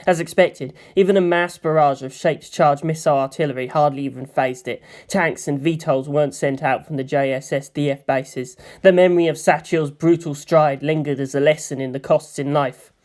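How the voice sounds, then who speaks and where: A woman's voice: deep voice, steady tone, normal speed, few pauses, a little mellow. A woman narrating fan fiction as a dramatic audio story reading.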